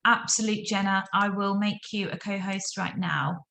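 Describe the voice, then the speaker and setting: A woman's voice: mid-range voice, fairly even intonation, normal speed, vocal fry, echoey room. A woman hosting an online event on a video call, full of thanks and praise for her guests. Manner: effusive, warm thanks and praise. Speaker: event host.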